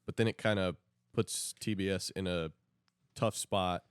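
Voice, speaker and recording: A man's voice: low-pitched, fairly even intonation, unhurried, clear, resonant tone, no echo, studio quality. A young American man in a casual sports chat, talking in a slangy, emphatic way. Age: young adult. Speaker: podcast co-host and former high school athlete.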